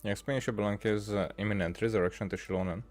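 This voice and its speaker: A man with a fairly low voice comments casually on a game he is playing. He rambles and hesitates as he works through his thoughts aloud. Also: fairly even intonation, quick pace, darkish tone, soft background music.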